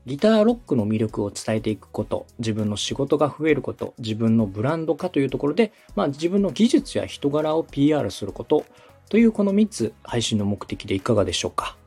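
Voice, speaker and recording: A man's voice: mid-range voice, sing-song, a little fast, dark tone, breathy edge, soft background music. A man from Osaka hosting a podcast, coaching in a polite, methodical way as he explains things. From Osaka, Japan. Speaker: podcast host and radio director. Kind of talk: structured coaching conversation.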